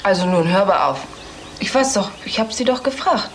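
A woman's voice: medium pitch, expressive, brisk, lightly acted. An actress playing a fussy, prim and insistent mother and hostess in a radio play. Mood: indignant. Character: fussy, polite hostess mother, scolding her small son. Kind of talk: German radio play dialogue. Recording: noisy recording with room ambience.

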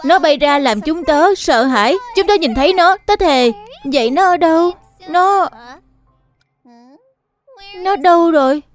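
An actor voicing an eager, excitable childlike animal cub in a dubbed children's cartoon. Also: high voice, a little slow, long pauses, soft background music. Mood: insistent.

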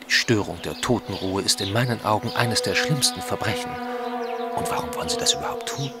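An actor playing a proper, excitable Victorian country gentleman with a formal manner in an audio drama. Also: on the low side, a little fast, loud music behind the voice, close to an everyday voice. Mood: indignant, uneasy. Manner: formal, dignified. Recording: loud outdoor ambience with insects.

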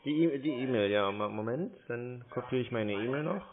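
A man in a middle pitch comes through a narrow, phone-like line. He stalls slowly and hesitantly, filling gaps with hums and fillers while he pretends to follow instructions. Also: crowd noise. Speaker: scam-baiter posing as a call target. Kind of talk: drawn-out phone call.

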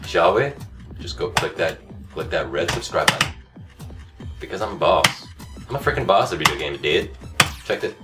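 A hoarse man in his thirties gives brash, self-hyping game commentary, his pitch leaping wildly from grumbling lows to yelling highs. He sounds a little away from the mic in a room at home. Loud game music plays behind him. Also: expressive, moderate pace, pauses often, recorded from a distance. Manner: cocky, self-hyping, swings from mutters to shouting outbursts. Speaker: comedy gaming host. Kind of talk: over-the-top comedic game commentary.

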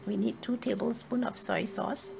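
A woman reading a scripted cooking tutorial in a measured, step-by-step instructional manner. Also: on the high side, steady tone, creaky, a little mellow, a little hiss or hum. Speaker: cooking video narrator. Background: music.

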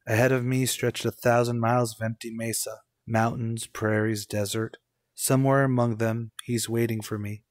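A man's voice: medium pitch, flat delivery, moderate pace, no echo, natural voice. An actor playing a haunted, unravelling young man in an old-time radio suspense drama, with a brooding, dramatic delivery. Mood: desperate, brooding.

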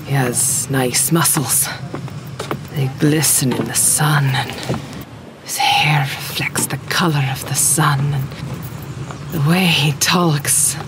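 A man's voice: a little high, steady tone, takes it slowly, pauses often, over music. An actor playing an eager, smitten pirate crewman in a comic audio drama, easily flustered. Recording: noisy ambience behind the voice.

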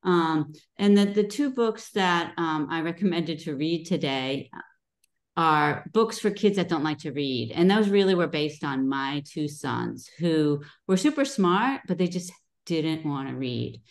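A middle-aged woman, a guest author, talking casually over a video call, chatty with many pauses and ums. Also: low voice, lively intonation.

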